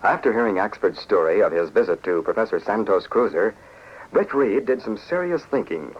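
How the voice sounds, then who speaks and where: A man's voice: fairly low pitch, lively intonation, moderate pace, close to an everyday voice. A man narrating a scripted adventure serial in 1940s radio style. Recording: muffled, faintly noisy 1940s radio recording.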